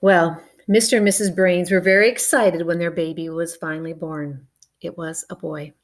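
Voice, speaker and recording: A woman reading a children's picture book aloud, expressive and adding playful spoken asides. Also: on the low side, normal speed. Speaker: storybook reader.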